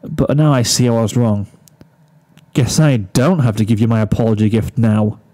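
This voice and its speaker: A man's voice: medium pitch, fairly even intonation, normal speed, natural voice. A man voicing an affectionate mare pony who is playfully dramatic and teasing, in a storybook reading. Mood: mock offence. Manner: overdramatic, teasing. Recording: soft guitar music behind.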